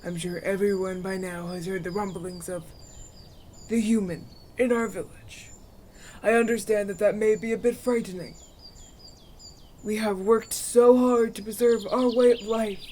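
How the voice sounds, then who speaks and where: A man's voice: high-pitched, darkish tone, a little hiss or hum, lightly acted. A man playing a solemn, pious village elder in a fantasy audio roleplay, speaking in a formal, ceremonial manner. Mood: proclaiming. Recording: outdoor nature ambience with birdsong behind.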